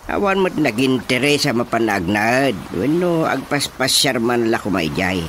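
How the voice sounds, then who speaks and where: Stubborn old husband in a radio drama, voice swoops around, loud music behind. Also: male voice, medium pitch, sing-song, measured pace. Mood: wistful, explaining. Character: stubborn old husband longing for a quiet place. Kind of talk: Ilocano radio comedy-drama acting.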